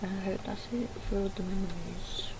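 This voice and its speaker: A woman's voice: mid-range voice, fairly even intonation, moderate pace. A woman reading game dialogue aloud with casual commentary, in a noisy home recording. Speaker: gaming video creator.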